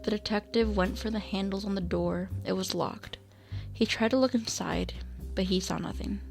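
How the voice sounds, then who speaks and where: A woman's voice: mid-range voice, moderate pace, gravelly, darkish tone, faint music behind. A woman reading scripted narration for a radio drama.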